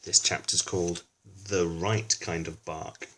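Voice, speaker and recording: A man's voice: low-pitched, flat delivery, slow pace, bright, forceful tone, slight background noise. A man reads a children's storybook aloud expressively, adding informal explanatory asides for a young listener. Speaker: children's book reader.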